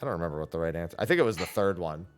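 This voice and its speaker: A man's voice: low-pitched, expressive, racing, clean tone, faint music behind. A man streaming a game with casual, blunt and sweary commentary. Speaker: streamer playing a story game. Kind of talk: casual game commentary, reading dialogue aloud.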